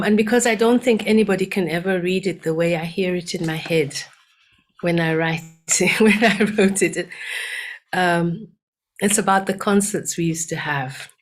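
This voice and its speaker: Unhurried older woman from Uganda. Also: mid-range voice. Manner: soft-spoken, unhurried, breaks into chanted and sung verses. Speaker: storyteller and poet. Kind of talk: reading her own poem aloud, singing song fragments.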